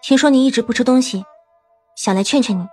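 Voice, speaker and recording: Casting: composed young female general, higher-pitched, earnest and persuasive, period audio drama. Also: fast, darkish tone, husky, soft background music. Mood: earnest, gentle. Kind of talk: Chinese costume audio drama acting.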